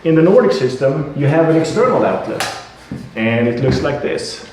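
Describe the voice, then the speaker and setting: A man with a Swedish accent walks through how a product works, halting often with fillers and repeated words. His voice sits at an ordinary pitch. The recording is very echoey, with a faint noise underneath. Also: sing-song, a little mellow. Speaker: product representative. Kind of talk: explaining and demonstrating a product. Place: building site at a house.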